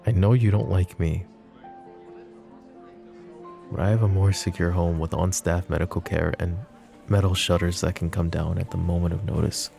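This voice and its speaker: A man voicing a possessive, flirtatious mafia boss in an ASMR roleplay drama, with a low, soft, teasing drawl. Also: steady tone, normal speed, vocal fry, pauses often, soft background music. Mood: coaxing. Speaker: voice actor.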